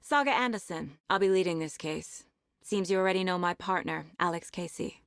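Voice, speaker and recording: An actress playing a determined, focused female FBI agent in a video game, with a serious, measured investigator's delivery. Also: fairly low pitch, no echo, clean studio sound, natural voice. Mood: businesslike. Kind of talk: scripted video game dialogue and inner monologue.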